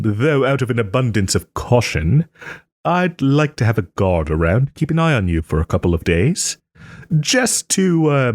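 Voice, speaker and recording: Male actor as a smug soft-hearted nobleman, refined, teasing, unhurried, expressive pitch swings, close dry sound, audio roleplay. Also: no echo. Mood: pleased, cautious. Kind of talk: audio roleplay, dramatic monologue to listener.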